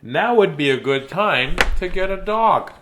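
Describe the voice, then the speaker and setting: A man voicing an insistent, excitable young boy from a storybook, in a loud, emphatic, protesting way. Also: high-pitched, unhurried, background noise, voice pushed up. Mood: stubborn protest.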